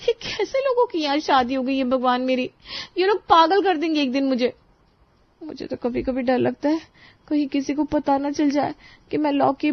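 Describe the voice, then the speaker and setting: A woman's voice: high-pitched, dry recording. A woman voicing a frantic, complaining young daughter-in-law, on a dry, muffled studio recording.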